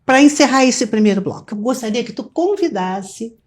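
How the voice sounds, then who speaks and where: A woman's voice: fairly high pitch, animated, brisk. Woman hosting a talk show. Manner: smooth, promotional, leads the segments.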